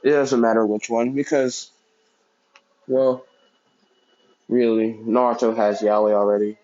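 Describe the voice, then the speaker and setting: A young man casually telling a fan fiction story out loud, rambling and correcting himself as he goes. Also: medium pitch, level tone, measured pace, muffled recording. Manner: rambling, self-correcting, many fillers.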